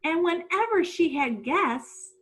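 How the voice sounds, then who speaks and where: A middle-aged woman, a teacher doing an expressive storytime for children and putting questions to young listeners. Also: very high-pitched, takes it slowly. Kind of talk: read-aloud storytime for children. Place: small room at home.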